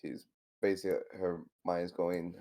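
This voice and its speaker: A man playing a tabletop roleplaying game, chatting casually and describing his moves in a halting, hesitant way. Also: low-pitched, level tone, mellow.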